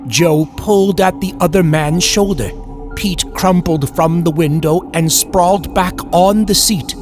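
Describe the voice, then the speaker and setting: A man narrating a horror story in old-time radio style, theatrical and menacing, sometimes dropping to a hushed whisper. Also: fairly high pitch.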